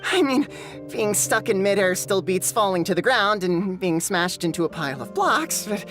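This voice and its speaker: A woman's voice: medium pitch, animated, moderate pace, a bit bright, natural voice. A woman voicing a polite, earnest townswoman in a video game, with a theatrical, formal manner. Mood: flustered, urgent. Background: music.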